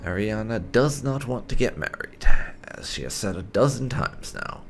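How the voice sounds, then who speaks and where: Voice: medium pitch, lively intonation, moderate pace, creaky, faint music behind. A gaming host giving casual let's play commentary with some light roleplay. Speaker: gaming video host, player.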